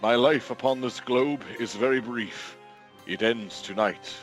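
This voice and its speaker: Man as grand, slow, hearty Christmas spirit. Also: mid-range voice, soft background music, close to an everyday voice. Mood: solemn. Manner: declamatory, grandiose. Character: hearty, grand male Ghost of Christmas Present. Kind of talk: radio play acting.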